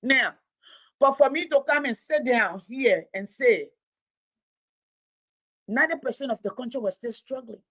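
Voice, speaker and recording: A woman's voice: fairly high pitch, a little slow, long pauses, fairly bright tone, husky. A Liberian woman, a native Mano and Gio speaker with a Liberian accent, giving blunt, emphatic unscripted political commentary as an online host and storyteller. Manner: blunt, emphatic, repeating phrases for effect.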